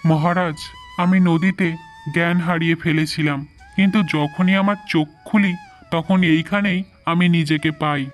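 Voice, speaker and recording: A man's voice: on the high side, fairly even intonation, a little slow, close to an everyday voice. A man playing a brave, earnest young adventurer in a fairy-tale cartoon. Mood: respectful. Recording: music behind the voice.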